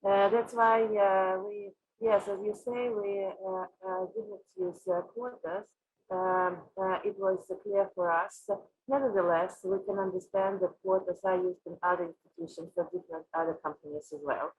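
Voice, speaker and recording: A woman from Russia with a Russian accent, answering interview questions as a company executive, haltingly and with frequent filled pauses. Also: medium pitch, darkish tone, husky, faint music behind, low-quality recording. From Moscow, Russia. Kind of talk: interview answers on an online panel.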